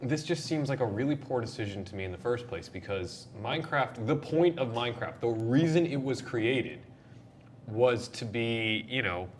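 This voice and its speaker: A man of average pitch hosts a casual panel discussion. He is opinionated and jokey, leaning into playful exasperation. Clear noise sits behind his voice. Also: expressive, moderate pace. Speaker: host of a video game and movie discussion show.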